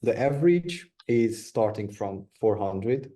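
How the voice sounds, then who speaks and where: Young guy on a video call, warm low voice, trips over words a bit. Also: lively intonation, takes it slowly. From Romania. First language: Hungarian. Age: young adult. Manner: hesitant, repeats words, plain and friendly. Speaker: guest, video editor. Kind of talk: casual interview answers.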